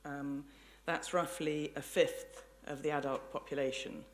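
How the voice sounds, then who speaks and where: A woman giving an articulate, measured public lecture with illustrative storytelling. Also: sits low, level tone, faint music behind.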